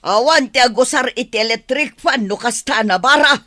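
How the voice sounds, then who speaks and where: A woman's voice: on the high side, moderate pace, pushed and bright, dry recording, clean studio sound. A woman with an Ilocano accent playing a nagging, scolding older mother in an audio drama. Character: nagging older mother fretting over bills and gambling.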